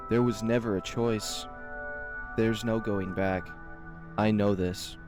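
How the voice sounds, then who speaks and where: A man who hosts a channel, reading a visual novel aloud in a slow, measured, dreamy way. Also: on the low side, steady tone, over music. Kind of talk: dramatic reading of game text.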